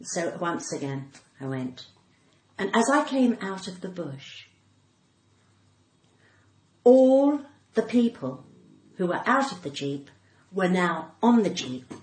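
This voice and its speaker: Animated cut-glass British woman, roomy sound. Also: on the low side, slow pace, long pauses. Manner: theatrical, acts out dialogue. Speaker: comedian and podcast guest. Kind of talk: animated conversational storytelling. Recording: roomy echo, faint background noise.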